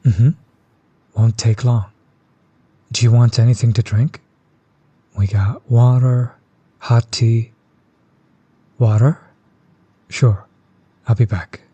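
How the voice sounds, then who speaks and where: A man playing a chill, friendly tattoo artist in an intimate audio roleplay, soft-spoken, easygoing and reassuring. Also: mid-range voice, flat delivery, takes it slowly, pauses often, slightly rough, dry recording, muffled recording. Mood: friendly, casual. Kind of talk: intimate one-sided audio roleplay, casual chat.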